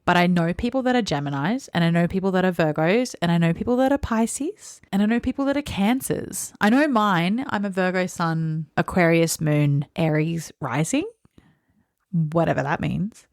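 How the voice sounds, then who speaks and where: A woman with a slightly low voice chats casually about books as a podcast co-host. She is blunt and opinionated and drops "like" into her sentences often. The sound is dry, with little room on it. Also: moderate pace, no echo. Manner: opinionated and blunt, peppers talk with "like". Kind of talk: casual book chat.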